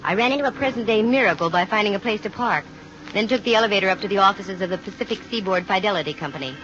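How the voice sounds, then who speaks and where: A woman's voice: mid-range voice, racing, fairly bright tone, close to an everyday voice. Actress as dry, wisecracking American lady detective, muffled radio. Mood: brisk, confident. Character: wry, self-assured female private investigator. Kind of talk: 1950 radio detective drama acting, with first-person narration. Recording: muffled vintage 1950 radio recording with music.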